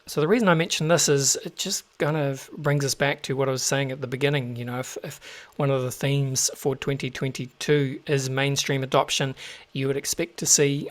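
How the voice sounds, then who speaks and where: A man's voice: mid-range voice, fairly even intonation, brisk. A man with a New Zealand accent hosting a show, talking casually to listeners with lots of fillers and restarts. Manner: chatty, many fillers and restarts. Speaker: host.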